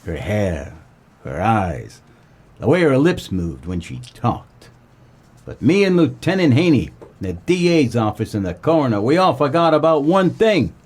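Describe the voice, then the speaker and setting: An actor playing a wry, world-weary 1940s private eye, narrating a comedic noir radio play. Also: male voice, mid-range voice, unhurried, breathy edge. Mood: wistful. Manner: wry, deadpan, colloquial.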